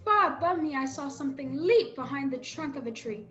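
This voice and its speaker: An earnest young cabin boy voiced by a woman tells an adventure in a high, bright voice. The delivery is dramatic radio play storytelling. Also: lively intonation, fairly quick, lo-fi sound. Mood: frightened, suspenseful.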